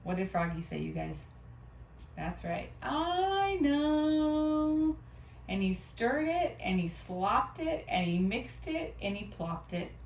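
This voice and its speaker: A woman reading a storybook aloud to children in an expressive, teacherly way. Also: lowish voice, a little slow, vocal fry. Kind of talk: animated read-aloud with questions to children. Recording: roomy, distant mic, faint noise.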